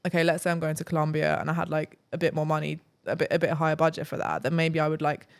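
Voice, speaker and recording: Young woman, from England, casual podcast guest, chatty, gravelly, resonant. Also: sits low, steady tone, fast. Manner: chatty, many "like" fillers, laughs easily. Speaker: travel vlogger guest.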